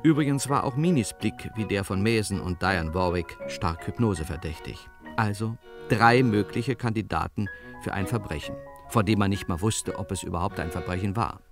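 Man as deep-voiced, wry crime-story narrator. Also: normal speed, over music, lightly acted. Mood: dry, matter-of-fact. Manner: wry, dry, colloquial. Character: wry male detective's sidekick narrating a crime case. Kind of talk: narration in a crime radio play.

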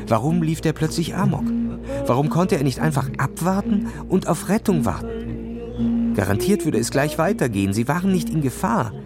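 A man narrating a children's story in a dramatic, scripted reading style. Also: on the high side.

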